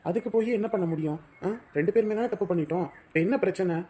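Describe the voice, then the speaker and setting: A young man, as an actor plays him, reassures his partner in quick, forceful bursts. He is brash and protective, scolding her while promising to stand by her. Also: high-pitched, a little hiss or hum, lightly acted. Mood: reassuring. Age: young adult.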